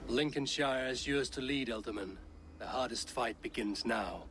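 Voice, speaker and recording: A man's voice: mid-range voice, normal speed, background noise, lightly acted. A man playing a blunt, scornful Viking-age warrior in a video game, with a dry delivery. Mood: grim. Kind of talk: dramatic video game cutscene acting.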